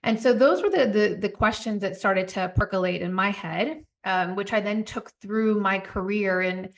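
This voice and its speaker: A woman's voice: mid-range voice, moderate pace, gravelly. Reflective woman in her forties. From Puerto Rico. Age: 40s. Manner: reflective, storytelling, animated asides. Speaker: guest, company founder. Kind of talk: podcast interview.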